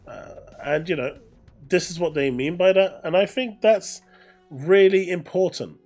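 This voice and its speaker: A man's voice: high voice, measured pace, a little mellow, faint music behind. A man commenting casually on gaming business news, reading aloud and adding explanatory asides, often repeating phrases for emphasis.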